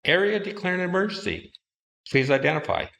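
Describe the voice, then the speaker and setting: A man's voice: high voice, animated, a little fast, creaky, a bit bright. A man giving formal, procedural radio announcements as a dispatcher in a scripted drill.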